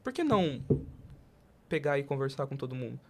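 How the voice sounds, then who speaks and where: A man's voice: mid-range voice, sing-song, a little fast. A Brazilian man hosting a casual motivational podcast, speaking emphatically with rhetorical questions and acted-out quotes. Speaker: podcast host.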